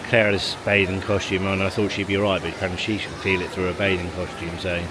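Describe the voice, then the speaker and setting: Low-voiced man vlogging casually. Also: little pitch movement, fast talker. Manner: rambling, chatty, chuckles between remarks. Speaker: family vlogger. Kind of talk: casual vlog chat to camera. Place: outdoors in a car park, then at a leisure pool. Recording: handheld vlog with loud background noise.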